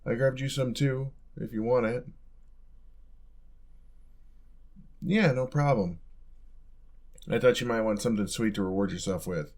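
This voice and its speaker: A man's voice: medium pitch, steady tone, normal speed, darkish tone, natural voice. A young man playing a caring, teasing college roommate in an intimate, casual audio roleplay.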